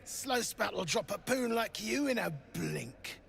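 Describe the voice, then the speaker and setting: An actor playing a grumpy, greedy, territorial dwarf in a video game, gruff, barking and dismissive. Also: male voice, high voice, animated, measured pace, a bit bright, exaggerated voice. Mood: hostile. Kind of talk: video game character acting. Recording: reverberant hall, light ambient noise.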